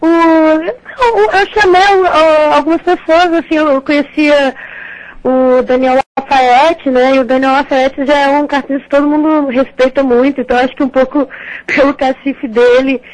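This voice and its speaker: A woman with a Capixaba Brazilian accent answers a radio interviewer, her voice bright and high. She talks casually, stumbling over restarts and breaking into small giggles as she goes. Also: steady tone, normal speed, rough recording. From Rio de Janeiro. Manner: chatty, hesitant restarts, frequent giggles. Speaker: magazine editor, guest.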